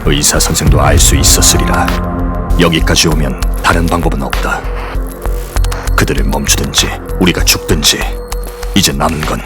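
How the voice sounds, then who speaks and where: Paranormal investigator, deep-voiced, determined and deliberate, dramatic audio drama narration with a heavy score. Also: male voice, steady tone, loud music behind the voice. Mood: grave, tense. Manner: grave, deliberate. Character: grim, determined male paranormal investigation agent. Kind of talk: dramatic first-person scripted narration.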